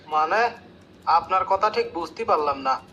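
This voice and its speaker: A man's voice: a little high, lively intonation, unhurried, bright, forceful tone, lo-fi sound, a little hiss or hum. A man playing a stern, bossy, easily exasperated job interviewer with formal questioning in a comic cartoon skit. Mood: formal, testing.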